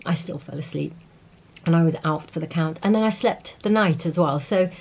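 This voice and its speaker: A woman's voice: sits low, moderate pace, a little mellow, poor audio. A middle-aged woman vlogging casually about makeup, chatty and enthusiastic, still congested from a cold. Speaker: beauty vlogger. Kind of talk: casual vlog talk to camera.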